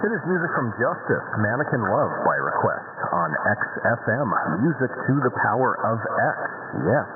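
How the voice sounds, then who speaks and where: Animated male pirate DJ on tinny shortwave. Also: mid-range voice, moderate pace, few pauses, noisy background. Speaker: pirate radio host. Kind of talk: chatty radio DJ patter. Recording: tinny shortwave radio, loud music behind.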